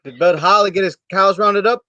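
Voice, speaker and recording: A woman's voice: mid-range voice, fairly quick, pushed and bright, no echo, muffled recording, lightly acted. A woman playing a blunt, contrary, folksy small-town man in an amateur play reading. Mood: gruff, impatient.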